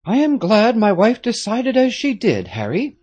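A man's voice: sits high, wildly swooping pitch, measured pace, a little mellow, breathy edge, pitched above the performer's own voice, exaggerated voice. A man voicing a stern, commanding aristocratic French father in an audiobook, speaking firmly. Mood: grave. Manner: firm, authoritative. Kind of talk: audiobook character reading.

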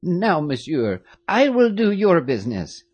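A narrator voicing a fussy, fiercely loyal old woman who once nursed the family, high-pitched and chattering in exclamations. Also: sing-song, unhurried, a little mellow, husky, no echo, dull audio. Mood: fussing, warm. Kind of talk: audiobook character reading.

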